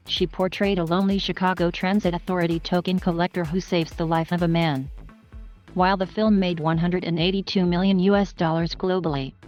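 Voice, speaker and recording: A woman reading a scripted biography narration in an even, flat manner. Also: fairly low pitch. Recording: faint music underneath.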